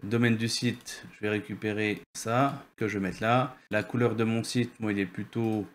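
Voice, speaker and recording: A man with a fairly low voice hosts an informal tutorial video. He talks off the cuff as he walks through things, hesitating, repeating words and often checking that the listener follows. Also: fairly even intonation, moderate pace, slight background noise. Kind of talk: casual software tutorial with screen share.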